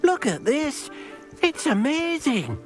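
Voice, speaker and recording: An actor voicing an earnest, caring male house-elf in a video game, gentle and polite, speaking of himself in the third person. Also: extremely high pitch, very animated, takes it slowly, over loud music, full character voice. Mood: delighted, amazed. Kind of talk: video game character dialogue.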